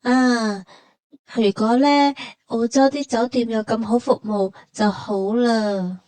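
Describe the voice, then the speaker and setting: A woman with a Hong Kong Cantonese accent gives casual travel narration in a relaxed, mellow voice that sits a little high. Her delivery is colloquial and matter-of-fact, like scripted banter between companions. The recording is close and dry. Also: slow pace, no echo.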